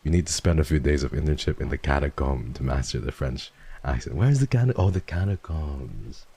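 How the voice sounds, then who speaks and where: A man streaming a casual chat with viewers, swearing freely and jumping from low mumbles to excited high yelps. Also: fairly quick, breathy edge.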